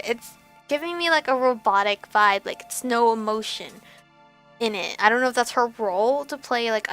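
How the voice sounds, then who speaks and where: Young female gaming host, fairly high and very bright voice, giggly casual commentary over music. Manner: giggly, chatty. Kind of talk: casual let's play commentary, reading lines aloud. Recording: music behind the voice. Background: game music.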